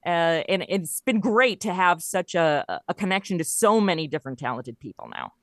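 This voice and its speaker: Lower-voiced woman, chatty podcast guest. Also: sing-song, moderate pace, dry recording, clean studio sound. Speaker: podcast guest, audio drama creator and voice performer. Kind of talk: casual interview chat.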